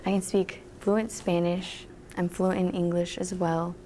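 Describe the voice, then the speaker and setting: A seventeen-year-old woman, a high school senior, answering interview questions in a matter-of-fact way. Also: little pitch movement, a little slow, noisy background.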